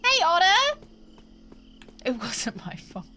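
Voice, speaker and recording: A woman's voice: very high voice, wildly swooping pitch, slow, bright, forceful tone, slight background noise. Chatty, congested woman streamer. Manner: chatty, giggly, apologetic. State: congested, snotty. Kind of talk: casual gameplay commentary.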